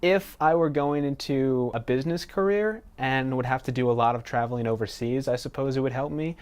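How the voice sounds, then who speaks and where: Young man, around twenty, student interviewee, relaxed, smooth clear voice. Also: medium pitch, brisk. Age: 20s. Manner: relaxed, conversational, with casual fillers. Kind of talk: documentary interview answers.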